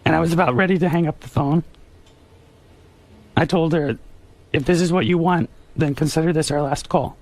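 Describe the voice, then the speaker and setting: A young man telling a personal story in a radio interview, speaking earnestly and haltingly. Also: fairly high pitch, fairly even intonation, darkish tone.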